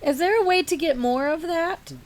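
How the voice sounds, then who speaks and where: A woman's voice: very high-pitched, normal speed, over a noisy crowd. A woman playing a tabletop role-playing game, chatting casually with the group. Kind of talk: casual chat.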